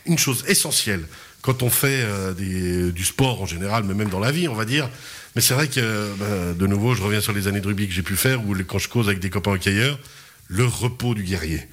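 A man hosting a local radio talk show, chatting casually with guests, laughing often and filling pauses with hesitations. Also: fairly low pitch, fairly bright tone.

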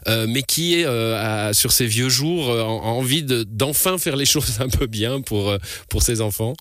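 A man's voice: medium pitch, normal speed, fairly bright tone, no echo. A man hosting a radio interview in a studio, talking with many restarts and fillers. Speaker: radio news host. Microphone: studio mic.

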